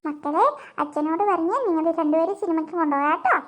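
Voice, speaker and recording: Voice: extremely high pitch, fast talker. A performer voicing a flustered mother in a comic family cartoon, soothing and placating her children. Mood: appeasing.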